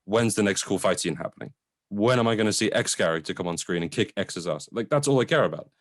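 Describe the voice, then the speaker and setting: A man's voice: on the low side, expressive, fast talker, no echo, studio quality. A man co-hosting a casual, opinionated film discussion, hesitantly conceding points as he argues and swearing casually. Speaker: podcast co-host reviewing films.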